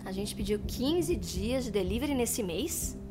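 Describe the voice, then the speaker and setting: An actress playing an excitable, defensive inner voice of a young woman, with a Brazilian accent, in an audio drama. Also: a little high, measured pace, noisy background. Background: music behind the voice.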